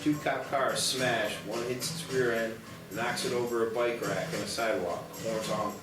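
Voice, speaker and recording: A man narrates screenplay action in scripted prose. His mid-pitched voice sounds distant and roomy, with music clearly playing behind him. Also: fairly even intonation, moderate pace. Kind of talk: scripted narration at a table read. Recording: echoey, distant mic, loud background music.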